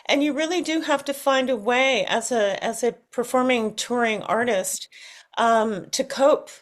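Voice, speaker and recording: Slow-speaking American woman, higher-pitched voice. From United States. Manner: thoughtful, halting, searching for words. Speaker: interview guest, singer. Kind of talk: reflective interview conversation.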